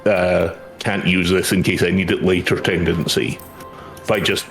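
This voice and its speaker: A man with a very deep voice chats casually during gaming. The talk is relaxed in wording and conversational. Game music plays clearly in the background. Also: a little slow.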